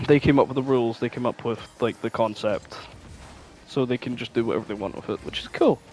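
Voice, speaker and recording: A man's voice: medium pitch, a little fast, vocal fry, a little mellow, slight background noise, low-quality recording. Guy chatting on a podcast, normal mid voice, super informal. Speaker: podcast co-host. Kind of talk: casual podcast chat.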